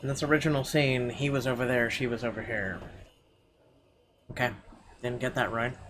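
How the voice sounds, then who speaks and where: Mid-pitched male gamer over background noise. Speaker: gaming video host. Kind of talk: reading game narration aloud with casual asides.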